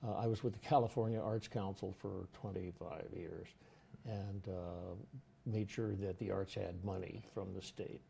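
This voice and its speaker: An older man hosting a casual talk show, speaking in a halting way with many fillers and restarts. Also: low voice, fairly even intonation, vocal fry, mellow, slight background noise. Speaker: host. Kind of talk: casual chat. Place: studio.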